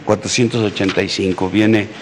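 A middle-aged man with a Mexican accent gives a formal briefing as an official, haltingly and with frequent hesitations. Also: fairly low pitch, steady tone. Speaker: military official giving a security briefing. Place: large indoor hall at a press conference. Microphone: podium microphone. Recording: echoey hall with background noise.

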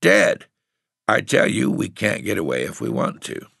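A man voicing a frail, husky eighteen-year-old runaway tramp boy with a cocky, bitter, streetwise way of talking. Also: low voice, very animated, normal speed, fairly bright tone, dry recording, clean studio sound, pitched above the performer's own voice, heavily acted. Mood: defiant, streetwise. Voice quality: husky, hoarse.

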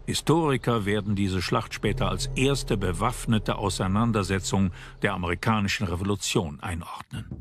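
Low-voiced documentary narrator, a man. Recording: music behind the voice. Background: background music.

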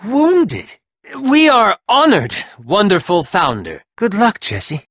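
A man's voice: sits high, huge pitch swings, takes it slowly, a bit bright, breathy edge, dry recording, clean studio sound, exaggerated voice. An actor voicing an arrogant young male rival gang leader in a video game, sneering and taunting with mocking laughs.

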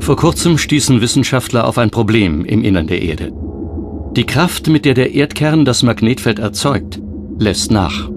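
A man reading scripted documentary narration in a measured, dramatic way. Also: medium pitch, expressive, noisy background. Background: background music.

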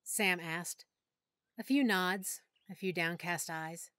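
A woman narrating a first-person audiobook in a soft, emotional storytelling manner. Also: on the low side, takes it slowly, no room sound, clean studio sound.

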